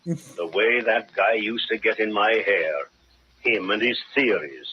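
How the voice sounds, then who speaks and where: An actor playing a gruff, cocky police sergeant in an old-time radio drama. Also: male voice, fairly low pitch, normal speed, crowd noise, natural voice. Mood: easygoing, grumbling. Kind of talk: 1940s radio acting. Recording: muffled, noisy old-time radio recording.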